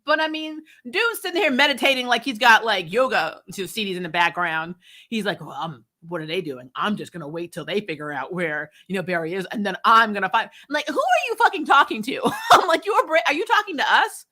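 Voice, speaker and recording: A woman hosting a casual, opinionated TV recap, animated and sarcastic, with mock quotes and some mild swearing. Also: mid-range voice, brisk. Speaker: host of a TV review channel.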